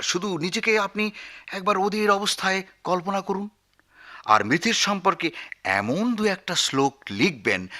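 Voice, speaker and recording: An actor playing an effusive, grandiloquent newspaper editor in a comic radio drama, speaking in florid, wheedling phrases. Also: male voice, mid-range voice, bright, forceful tone, full character voice. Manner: pompous, florid, wheedling, with hesitant filler sounds.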